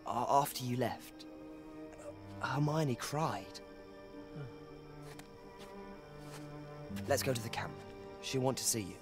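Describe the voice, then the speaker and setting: A man's voice: mid-range voice, measured pace, lightly acted. Man voicing resolute British teen wizard over music. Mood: quiet, forgiving. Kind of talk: video game dialogue acting.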